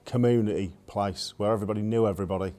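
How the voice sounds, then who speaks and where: A man speaks bluntly in a low voice. His pitch barely moves, so his emphatic points come out flat and hard. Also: racing. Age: 40s. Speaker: protest organiser.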